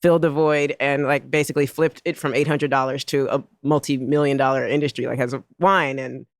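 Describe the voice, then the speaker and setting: A woman from Oakland, a writer and poet, talks quickly and with enthusiasm in a casual conversation. Her voice is fairly low for a woman, and the sound is close and dry. Her delivery is lively and chatty. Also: no echo.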